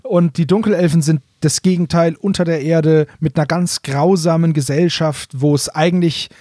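Higher-voiced man chatting casually, close dry sound. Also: fairly even intonation, normal speed, warm tone, no room sound. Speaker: podcast co-host. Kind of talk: casual, conversational fan talk.

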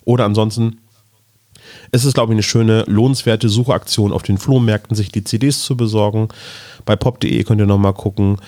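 A man's voice: on the low side, steady tone, brisk, darkish tone, no room sound. A man co-hosting a podcast, explaining things in a rambling, casual way. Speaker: podcast co-host.

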